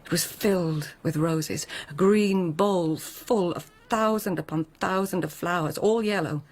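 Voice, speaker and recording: An actress playing a proud, witty lady-in-waiting of tenth-century Japan in a radio drama, hushed and measured, with formal poetic phrasing. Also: fairly low pitch. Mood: wry, poetic. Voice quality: breathy, hushed. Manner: measured, courtly, pointed.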